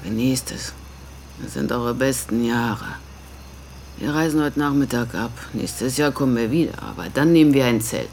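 A relaxed campsite mother in a radio drama, amused and teasing. Her intonation is very varied, and there is faint outdoor noise behind her. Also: rumbling low, normal speed. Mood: chatty. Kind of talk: naturalistic radio drama dialogue.

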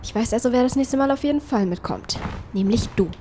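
Eine Schauspielerin spielt in einem Hörspiel eine junge, unsichere, lockere Frau, die umgangssprachlich mit englischen Einsprengseln redet. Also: female voice, on the high side, animated, brisk, warm tone, a little hiss or hum, natural voice. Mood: mildly annoyed. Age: young adult. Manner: colloquial youth slang with English bits. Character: Daya, young woman, anxious, self-doubting, casual, caring. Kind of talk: naturalistic audio drama acting.

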